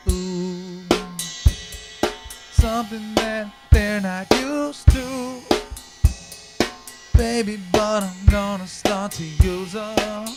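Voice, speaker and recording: Playful freestyle rapper over pounding drums. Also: medium pitch. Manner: rhythmic, rhyming, loose and playful delivery. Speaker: musician and stream host. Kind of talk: freestyle rapping and half-singing over live looped music. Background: loud live drums and band music.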